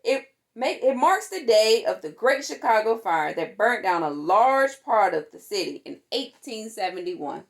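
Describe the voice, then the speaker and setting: A woman reading a children's picture book aloud in an expressive, deliberate way, with playful exclamations. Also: medium pitch, vocal fry. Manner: deliberate, animated, with playful sound words and exclamations. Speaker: storytime reader for a fire department.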